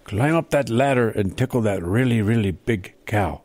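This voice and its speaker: A man's voice: lowish voice, expressive, normal speed, a little mellow, no echo. A man playing a bossy leprechaun who leads cattle rustlers in a comedy radio play, handing out orders. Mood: bossy, comic. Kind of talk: improvised comedy radio theater.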